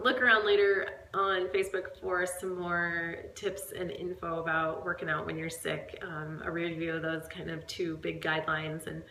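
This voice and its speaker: A woman's voice: sits low, pushed and bright, echoey room, a little hiss or hum, low-quality recording. Stuffy-nosed woman fitness coach, chatty. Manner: chatty, rambling, many fillers. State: stuffed up with a cold. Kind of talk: casual talk to camera. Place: at home.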